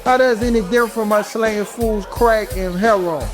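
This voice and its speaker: Male actor as young street schemer, very high-pitched for a man, slangy, eager, radio play with music underneath. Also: normal speed, lightly acted. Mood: defensive. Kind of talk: audio drama acting.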